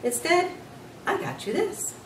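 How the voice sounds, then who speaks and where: A woman voicing an exuberant, lovestruck man in a story, loud, excitable and gushing. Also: very high voice, measured pace, voice pushed up, exaggerated voice. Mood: happy, eager. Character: exuberant lovestruck man, a school night janitor. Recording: roomy, distant mic, noisy.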